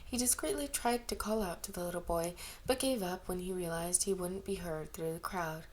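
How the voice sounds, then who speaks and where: A woman's voice: fairly low pitch, moderate pace, darkish tone. Woman reading a story aloud. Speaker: amateur audiobook reader. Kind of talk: scripted narration of fan fiction.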